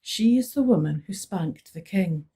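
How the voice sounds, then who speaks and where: A woman voicing a stately old Highland widow with a rich, powerful voice and a lilting Scottish Highland accent. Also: lively intonation, measured pace, mellow, husky.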